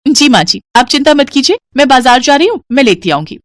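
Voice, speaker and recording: A woman voicing an eager, naive young daughter-in-law in an animated story, with a higher, chirpier voice. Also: a little fast.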